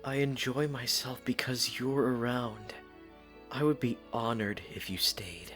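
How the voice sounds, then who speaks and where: An actor playing a devoted, modest young male knight with a casual, gently teasing manner in a fan audio drama. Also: mid-range voice, fairly even intonation, a little slow, faint music behind, lightly acted. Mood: gentle, sincere.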